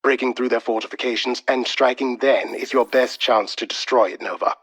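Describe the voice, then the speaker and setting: A man playing a calm, precise military intelligence adviser briefing an operative in a video game. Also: mid-range voice, brisk, breathy edge, dry recording, studio quality. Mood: measured briefing.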